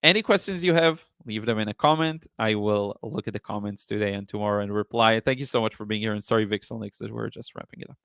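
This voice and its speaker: A man hosting a casual live stream as an art teacher, chatting in a rambling, appreciative way, his voice slightly hoarse from talking. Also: fairly low pitch, quick pace, hardly any pauses, a bit bright, dry recording, clean studio sound. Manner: rambling, appreciative, often thanking viewers. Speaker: live stream host, watercolor painting teacher.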